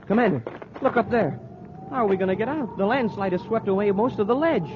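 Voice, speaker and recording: An actor playing an excitable young space cadet sidekick, earnest and deferential, in 1950s radio adventure style. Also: male voice, high-pitched, brisk. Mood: alarmed. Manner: deferential, earnest, exclaims easily. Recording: dull, noisy old radio recording.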